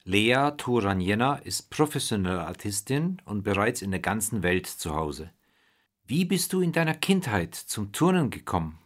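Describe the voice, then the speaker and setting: A man's voice: on the low side, very animated, normal speed. A man reading a scripted introduction and interview questions as the interviewer.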